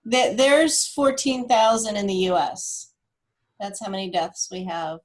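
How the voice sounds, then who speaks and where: A woman presents information in an informal, unscripted way. Her pace is very unhurried and her pitch sits in the middle. She halts and thinks aloud as she works through it. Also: animated. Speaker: guest presenter explaining data; cancer research nurse. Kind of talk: informal data walkthrough.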